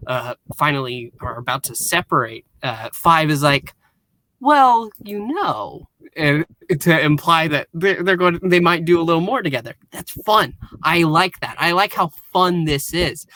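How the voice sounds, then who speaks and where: A man's voice: a little high, expressive, measured pace, pushed and bright. A man chatting casually and animatedly as a panellist on a review show.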